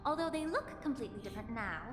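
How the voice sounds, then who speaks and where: Woman voicing a composed, lilting scholarly alchemist, high-voiced. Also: animated, natural voice. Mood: composed, analytical. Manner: measured, precise, formal explaining. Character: composed, scholarly young woman alchemist, head of a medicine guild. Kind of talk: scripted video game voice acting. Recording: echoey reverb, music behind.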